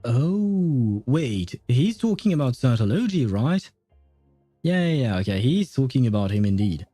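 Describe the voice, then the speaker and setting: A man's voice: medium pitch, big pitch swings, measured pace, warm tone, no room sound, faint music behind. A man hosting a game livestream, giving casual, rambling commentary as he thinks aloud. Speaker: livestream host.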